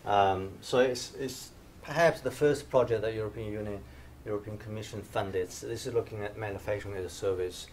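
Man, middle-aged, hesitant lecture, low pitch, faint room noise. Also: fairly quick, a little mellow. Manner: hesitant, many fillers and restarts. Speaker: invited speaker, professor. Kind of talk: conference lecture. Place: dim lecture room, standing by projector screen.

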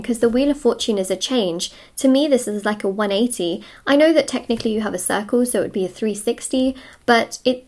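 A woman's voice: a little high, a little mellow. Conversational woman giving a tarot reading. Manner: conversational, musing, repeats and rephrases herself. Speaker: tarot reader and host. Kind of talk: casual, improvised tarot reading to camera. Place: indoors at a wooden table.

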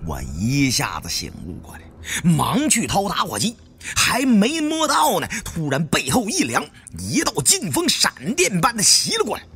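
A man narrating a suspense novel in the first person with expressive, dramatic storytelling. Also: high-pitched, moderate pace, creaky, breathy edge, soft background music. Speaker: audiobook narrator.

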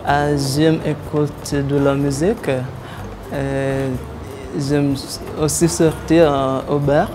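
Young man, slow and hesitant. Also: on the high side, little pitch movement, background noise. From Tanzania. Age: 20s. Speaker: student. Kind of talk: interview answers. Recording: music playing behind.

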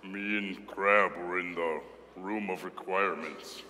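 A man playing a dim, gentle schoolboy henchman, plodding and matter-of-fact, in a comic staged reading. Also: deep voice, fairly even intonation, measured pace. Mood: dim, placid. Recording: reverberant hall, faint noise.

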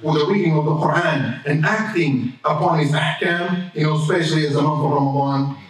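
A man's voice: a little high, fairly quick. A man in his thirties giving a Friday sermon at a mosque lectern, preaching with emphatic swells and Arabic phrases. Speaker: imam. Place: mosque prayer hall, indoors. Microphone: stand mic at a lectern, filmed from far camera. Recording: very echoey hall, voice from a distance.

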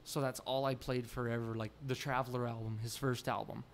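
A young adult man, a musician guest in a podcast interview, talking earnestly in animated bursts with many restarts, at a table mic in a small studio room. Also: mid-range voice, brisk, slight background noise. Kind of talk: casual interview chat. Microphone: boom mic on the table.

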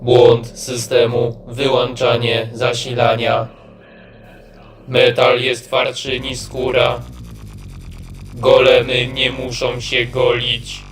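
Male amateur as robotic golem, deadpan, humourless, slow, game character, faint music. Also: medium pitch, echoey room, natural voice. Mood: deadpan, menacing. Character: stiff humourless stone-and-metal golem robot.

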